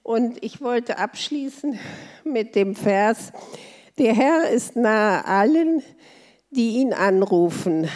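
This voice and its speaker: A woman giving a measured, solemn church testimony and quoting scripture. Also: a little high, darkish tone.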